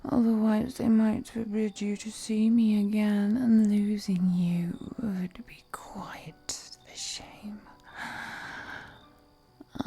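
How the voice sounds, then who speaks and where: An ancient vampire seductress, voiced by a woman, whispers slowly in a breathy, mellow voice. She is flirty and possessive, teasing and purring endearments as in an intimate roleplay audio drama, with music behind her. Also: mid-range voice, full character voice.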